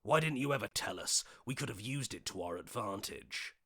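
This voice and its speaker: A man voicing a level-headed, questioning hero in a video game, speaking earnestly in short deliberate lines. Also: fairly low pitch, animated, a bit bright. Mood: questioning, suspicious.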